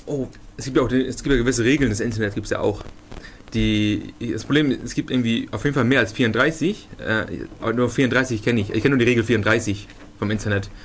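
A man with an average-pitched voice talks casually as a podcast co-host. His manner is jokey and given to chuckles. There is faint noise behind him. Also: fairly even intonation, fast talker. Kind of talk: casual joking chat. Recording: some background noise.

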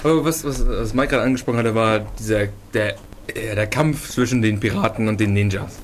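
A man's voice: on the low side, a little fast. A man co-hosting a podcast in casual, joking chat, often with a chuckle in his voice. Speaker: podcast co-host. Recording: some background noise.

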